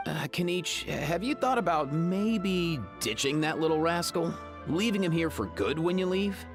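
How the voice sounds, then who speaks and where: Game casting: amiable young fellow, slightly high voice, teasing, clear music bed. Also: male voice, expressive, moderate pace. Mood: gently teasing. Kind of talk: video game character acting.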